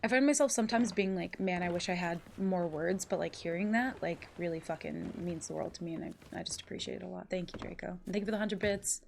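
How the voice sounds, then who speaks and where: A woman's voice: fairly low pitch, fast talker, vocal fry, hardly any pauses, a little mellow, slight background noise. A woman streamer with a stuffy, congested voice, chatting casually and thanking viewers, rambling with lots of fillers and swearing. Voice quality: nasal, congested. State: stuffy nose. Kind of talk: casual live-stream chat, reading chat aloud.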